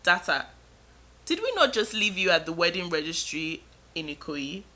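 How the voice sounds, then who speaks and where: A woman voicing her middle-aged Nigerian father, who asks pointed questions in a calm, measured way with a Nigerian accent. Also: a little high, exaggerated voice. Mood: calm questioning.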